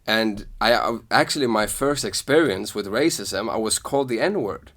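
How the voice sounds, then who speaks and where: A man's voice: lowish voice, normal speed. A young man from Sweden hosting a podcast, talking earnestly and telling personal stories in casual conversation. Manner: earnest, storytelling, animated when quoting others. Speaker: podcast host.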